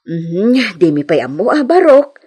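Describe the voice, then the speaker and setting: A woman playing a frank, expressive older village mother in an Ilocano radio drama, with an Ilocano accent. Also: mid-range voice, unhurried, breathy edge. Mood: amused.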